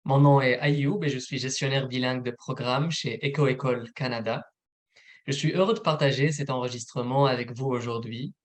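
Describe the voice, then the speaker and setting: A man, a program manager, presenting a recorded informational webinar in a steady, methodical read. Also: medium pitch.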